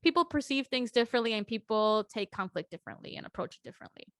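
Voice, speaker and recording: A woman's voice: fairly high pitch, lively intonation, quick pace, dry recording, studio quality. A young woman co-hosting a podcast in casual chat, talking earnestly and reassuringly, with lots of "like" and "um". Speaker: podcast co-host.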